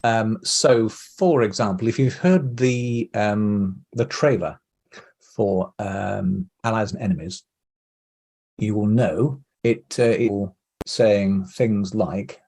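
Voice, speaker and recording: A man's voice: fairly low pitch, slow pace, a little mellow. A man giving hesitant, discursive explanations as the guest in a casual interview. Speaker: interview guest, audio drama producer.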